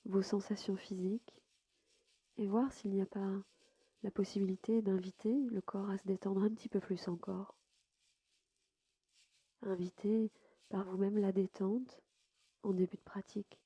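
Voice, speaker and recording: A woman softly guiding a sleep meditation as a yoga nidra host, unhurried and soothing, with a breathy voice that sometimes nears a whisper. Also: mid-range voice, level tone, no echo. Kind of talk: guided sleep meditation narration.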